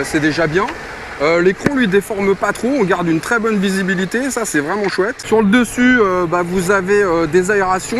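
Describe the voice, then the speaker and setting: A man's voice: sits high, background noise. A man giving a casual product review in a colloquial, hesitant way, as if thinking aloud. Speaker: motorcycle gear reviewer.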